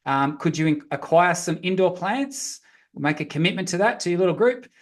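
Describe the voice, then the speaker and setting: Male facilitator, slightly high pitch, informal and friendly, addresses the group directly, thinks aloud while instructing. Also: lively intonation, moderate pace. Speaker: meet-up facilitator. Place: online video meeting.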